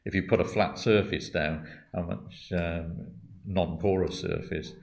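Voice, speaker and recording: Deep-voiced man presenting at a meeting. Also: steady tone, measured pace, gravelly. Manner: hesitant, with many restarts and qualifiers. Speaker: church meeting presenter answering questions on accounts. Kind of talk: meeting talk, thinking aloud. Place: church meeting room.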